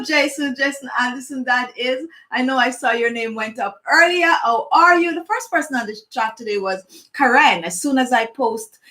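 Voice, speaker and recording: A middle-aged Jamaican woman with a strong accent hosts a beauty chat, rambling warmly from one tip to the next. Her voice sits a little high for a woman, and she calls out viewers by name as she goes. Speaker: beauty channel host. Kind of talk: casual live chat and beauty tips.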